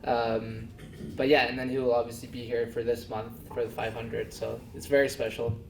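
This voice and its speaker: A young man from Denmark talks casually as an interview guest, pausing on fillers and restarting his sentences. His voice sits at an ordinary pitch. He is picked up from a little way off the mic, in a roomy pub with some noise behind. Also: little pitch movement, creaky, far from the mic. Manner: soft-spoken, halting with many fillers. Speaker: guest, race car driver.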